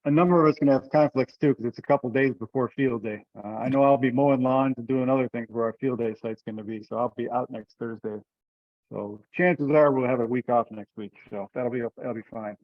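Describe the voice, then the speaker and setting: A man runs an informal online meeting, talking in a relaxed, colloquial way at a medium pitch. The sound is dull and lo-fi, like a dry conference call with very little room. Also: a little fast, dry recording. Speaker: meeting chair.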